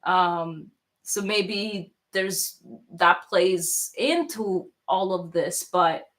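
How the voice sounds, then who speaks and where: A woman's voice: fairly low pitch, very slow, fairly bright tone. A woman from Russia who grew up in Toronto, speaking with a mixed accent that has a slight Russian trace. She hosts a casual livestream, thanking donors and rambling on with many fillers and restarts. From Russia, raised in Toronto, Canada.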